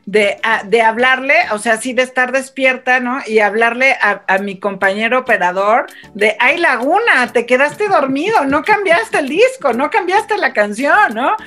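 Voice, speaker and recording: A woman, a radio broadcaster telling stories in a casual panel chat, animated and full of quick restarts. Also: high voice, faint music behind.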